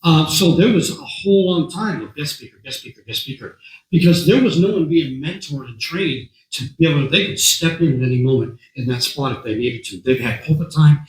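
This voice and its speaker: A man with a hoarse voice speaking to a meeting as a guest speaker, talking in a conversational way, repeating words and often clearing his throat. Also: fairly high pitch, expressive, fairly quick, echoey room, low-quality recording. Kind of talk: talk to a meeting. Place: meeting room.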